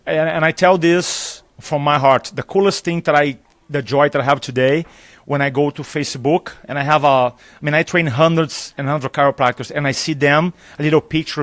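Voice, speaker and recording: A man's voice: on the high side, moderate pace, dry recording. A man from Brazil, a native Portuguese speaker with a thick Brazilian accent, chatting animatedly as a live interview guest and acting out dialogue with laughs. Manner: animated storyteller, acts out dialogue, laughs often. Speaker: public speaking trainer, guest. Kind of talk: enthusiastic live interview chat. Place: conference venue.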